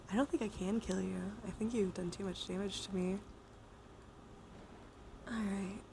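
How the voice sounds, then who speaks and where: A woman hosting a live ASMR stream, speaking softly and gently to viewers and often slipping into whispers. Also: medium pitch, steady tone, slow, creaky, pauses often, noisy background.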